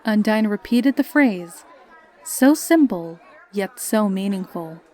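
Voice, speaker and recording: A woman's voice: mid-range voice, animated, takes it slowly, a little mellow, some chatter behind. A woman reading scripted story narration.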